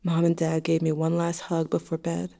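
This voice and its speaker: A woman's voice: deep voice, monotone, normal speed, gravelly, mellow. A young woman playing a grieving, anxious teenager, narrating an intimate, wry diary to a dead sibling in an audio drama. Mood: subdued, tender.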